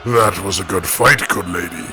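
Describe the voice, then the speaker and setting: Hulking gentlemanly raptor wrestler, male actor: low, rough, measured and formal, for animated fight show; noisy track. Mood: respectful. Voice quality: deep gravelly voice.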